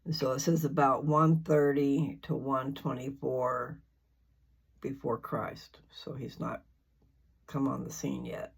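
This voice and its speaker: An older speaker chatting casually and informally in a folksy, meandering way, often halting to search for words. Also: mid-range voice, level tone, creaky, breathy edge, low-quality recording. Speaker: host of a scripture reading channel. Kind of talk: rambling casual chat before a reading.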